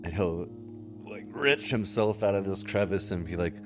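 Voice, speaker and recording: Deep-voiced man playing a tabletop game. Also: lively intonation, normal speed, over music. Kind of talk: casual in-game chat, describing his character.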